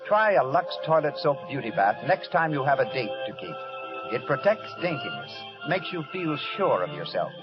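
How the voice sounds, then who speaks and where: A man's voice: a little high, sing-song, normal speed, dull audio, over music. A man announcing a 1930s radio programme from a script in a formal, declamatory manner, on a vintage recording.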